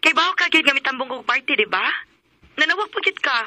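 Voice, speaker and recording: A woman's voice: sits high, expressive, fast talker, pushed and bright, no echo, exaggerated voice. An actress playing a playful, pouty young daughter in a radio drama, mixing English into her teasing talk. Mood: mild complaint.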